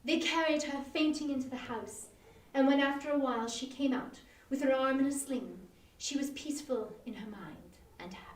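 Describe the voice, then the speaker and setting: A woman giving an expressive, dramatic reading of a Victorian short story. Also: sits high, moderate pace. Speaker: volunteer or staff reader. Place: echoey room, live online performance. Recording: echoey room, distant microphone, online stream.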